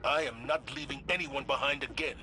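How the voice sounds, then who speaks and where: Man as a grave commander, mid-pitched. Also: noisy background, close to an everyday voice. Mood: determined. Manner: measured, authoritative orders. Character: resolute, grave male military commander. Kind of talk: dramatic video game voice acting.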